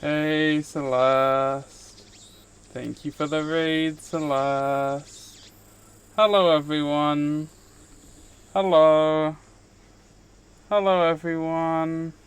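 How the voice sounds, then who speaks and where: A man's voice: on the high side, steady tone, very slow, long pauses, clear, resonant tone. A man live streaming, reading visual novel narration aloud and chatting casually with viewers in between. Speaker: streamer, VTuber host.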